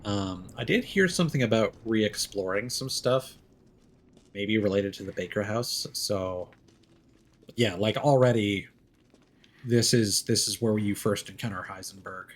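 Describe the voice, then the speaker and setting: A man's voice: on the low side, a little slow. A man giving casual live gameplay commentary, musing aloud and reading in-game notes out as he goes. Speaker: video game streamer.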